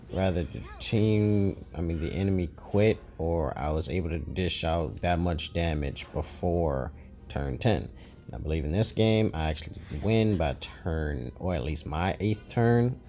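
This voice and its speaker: A man giving relaxed, rambling commentary over his card game matches, often correcting himself mid-sentence. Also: low-pitched, measured pace. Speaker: gaming YouTuber. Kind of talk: casual gameplay commentary.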